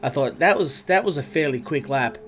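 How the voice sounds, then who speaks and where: A man's voice: fairly high pitch, animated, brisk, a little hiss or hum. Congested Australian man streaming a racing game. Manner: chatty, greets viewers by name. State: congested, nasal from a cold. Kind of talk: casual live-stream chat over gameplay.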